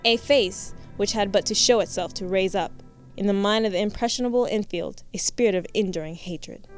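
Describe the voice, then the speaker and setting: Woman narrator, medium pitch, formal storytelling, radio play narration, prominent music underneath. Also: brisk.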